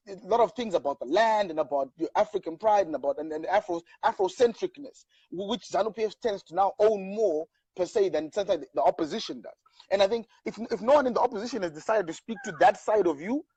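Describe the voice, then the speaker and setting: A man's voice: high voice, mellow, slightly rough, no echo, highs cut off. A middle-aged Zimbabwean man in casual political discussion, emphatic, with stammering restarts. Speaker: show host.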